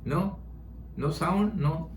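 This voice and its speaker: A man's voice: on the high side, slow, echoey room, slight background noise. A man hosting a video call as a spiritual teacher, joking playfully in broken English and dropping in Spanish phrases. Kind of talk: casual chat.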